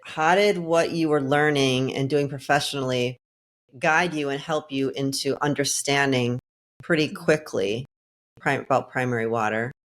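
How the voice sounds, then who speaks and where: A woman's voice: very deep voice, fairly even intonation, moderate pace. A woman hosting a podcast interview, introducing her guest in a loose, rambling way full of restarts and fillers. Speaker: podcast host.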